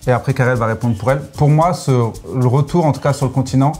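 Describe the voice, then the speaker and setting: A man's voice: mid-range voice, fast talker, a little mellow, soft background music. A young man from Senegal, chatting as an interview guest, joking and playful, explaining with many fillers. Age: young adult. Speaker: guest, content creator. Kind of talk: casual interview chat.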